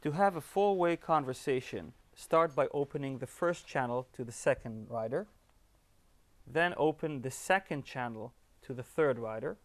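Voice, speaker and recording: A man's voice: medium pitch, lively intonation, moderate pace. A man giving scripted step-by-step instructions as an upbeat tutorial presenter.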